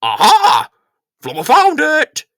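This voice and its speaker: Squeaky, excitable little childlike fellow. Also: male voice, slow pace, no echo, studio quality, full character voice. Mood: excited. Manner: exclaiming in babbly broken childlike speech. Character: Bill, small excitable childlike male character, cheery.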